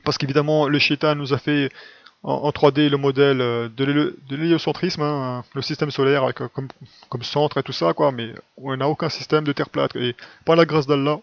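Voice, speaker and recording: A man's voice: mid-range voice, steady tone, moderate pace, gravelly, no room sound. A man giving an informal, didactic spoken lesson in a colloquial style with frequent tag words, recorded close up with slightly dull, lo-fi sound. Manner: colloquial, didactic, frequent "hein" and "quoi" tags. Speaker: religious video teacher. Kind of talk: informal explanatory monologue.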